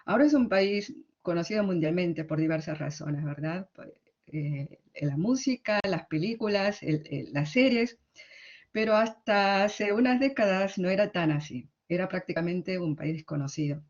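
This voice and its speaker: A middle-aged woman with an Argentine Spanish accent, a literary translator giving a conference talk in a conversational way, often closing her points with quick tag questions. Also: fairly low pitch, darkish tone. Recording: slightly dull, lo-fi sound.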